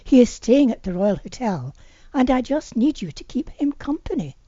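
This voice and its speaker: Woman narrator voicing pleading woman neighbour, audiobook dialogue, coaxing, swooping pitch, husky, dull recording. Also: big pitch swings, moderate pace, warm tone, dry recording. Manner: hesitant, coaxing. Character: pleading woman neighbour, hesitant yet pushy friend. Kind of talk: amateur audiobook character dialogue.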